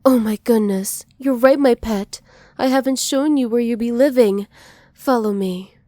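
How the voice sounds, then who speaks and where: Woman acting a mellow, possessive villainess. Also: fairly high pitch, measured pace, dry recording, close to an everyday voice. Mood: brisk, warning. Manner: soft, teasing menace with breathy whispered endearments. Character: dominant, possessive female villain doting on a captive. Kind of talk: ASMR roleplay.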